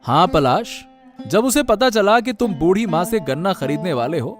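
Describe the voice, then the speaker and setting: A man acts out a righteous village uncle for a Hindi cartoon. His voice sits fairly high and swings widely in pitch, protective and indignant, with music behind it. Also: sing-song, normal speed. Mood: earnest. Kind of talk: Hindi cartoon story dialogue.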